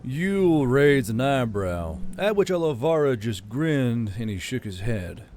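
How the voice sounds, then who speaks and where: Man, audiobook narrator, measured storytelling, mid-pitched voice, faint music underneath. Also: sing-song, a little mellow. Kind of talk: scripted fiction narration.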